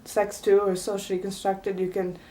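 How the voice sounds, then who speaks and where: A woman's voice: medium pitch, completely flat delivery, moderate pace, dark tone, poor audio. A young woman from Italy, a native Italian speaker, talking to camera in a casual personal vlog. She is earnest and opinionated and hedges often with "I mean" and "you know". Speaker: vlogger.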